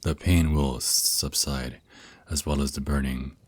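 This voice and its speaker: A man's voice: a bass voice, steady tone, no room sound. A man voicing a teasing, protective vampire naga boyfriend who mentors his lover in an intimate, reassuring ASMR roleplay. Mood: tender, reassuring. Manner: soft teasing endearments, reassuring, unhurried. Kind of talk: ASMR roleplay, intimate one-sided dialogue.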